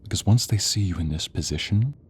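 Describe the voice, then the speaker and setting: A man playing a sadistic, gloating monster hunter in an audio role play, with slow menace, breathy whispers and sharp commands. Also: lowish voice, expressive, a little hiss or hum, close to an everyday voice. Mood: commanding, contemptuous. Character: sadistic male monster hunter, mad, theatrical, gloating villain.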